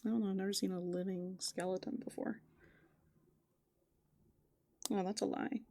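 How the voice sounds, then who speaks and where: A woman doing improvised ASMR roleplay, softly and playfully musing aloud, slipping into breathy whispers close to the microphone. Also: medium pitch, fairly even intonation, fast talker, no room sound. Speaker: ASMR performer in a raccoon avatar persona.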